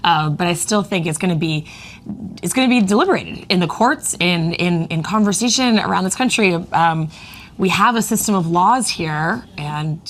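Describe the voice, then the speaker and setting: A woman's voice: fairly low pitch, expressive, normal speed, a little hiss or hum. A woman co-anchoring a live news broadcast, giving engaged, emphatic commentary. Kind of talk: live news desk conversation.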